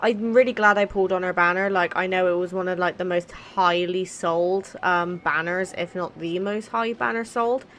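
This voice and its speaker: A woman's voice: lowish voice, steady tone, normal speed, hardly any pauses, fairly bright tone. A woman giving casual, chatty, cheeky let's play commentary. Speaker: gaming streamer.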